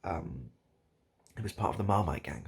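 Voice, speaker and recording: Husky low-voiced man rambling absurdly. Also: animated. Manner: halting, repetitive, absurdist, mock-earnest. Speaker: online video host. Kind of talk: rambling improvised comic monologue addressed to the listener.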